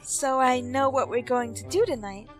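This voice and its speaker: A woman playing a wife with cold vengeance beneath genteel manners, in theatrical, deliberate 1940s radio drama style. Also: high voice, faint music behind, close to an everyday voice. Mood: coolly menacing. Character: frightened wife turned coolly vengeful, chanting a spell.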